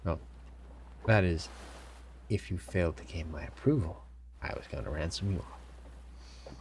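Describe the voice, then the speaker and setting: Man playing a deep, gravelly pirate captain. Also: a little hiss or hum. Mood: measured approval. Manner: theatrical, deliberate, formal phrasing with teasing pauses. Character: male pirate captain, sly, commanding, smugly amused. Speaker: audio roleplay performer. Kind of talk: scripted immersive audio roleplay addressed to listener.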